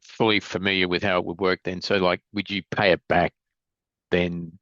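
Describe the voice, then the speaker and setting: A man's voice: deep voice, flat delivery, normal speed, fairly bright tone, no echo. A male analyst asking conversational questions on an investor call, hesitating and stammering as he rephrases them, over dull call audio.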